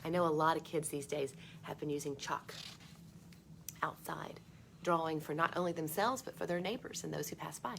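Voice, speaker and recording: A woman reading a picture book aloud for children, expressive, with chatty asides spoken straight to young listeners. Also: low-pitched, moderate pace, vocal fry, slight background noise.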